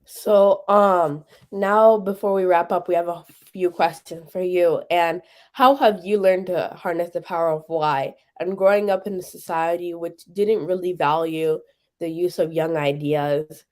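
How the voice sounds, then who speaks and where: A man's voice: sits high, fairly even intonation, a little slow, a little mellow. A man co-hosting a talk show, thoughtful and a little hesitant as he speaks. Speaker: co-host. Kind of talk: casual talk show chat.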